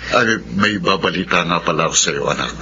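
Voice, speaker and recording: A man plays an indulgent middle-aged Filipino father in a radio drama. His voice is fairly low. The sound is dull, with clear background noise. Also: brisk, natural voice. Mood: kindly. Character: kindly, indulgent father of a grown son.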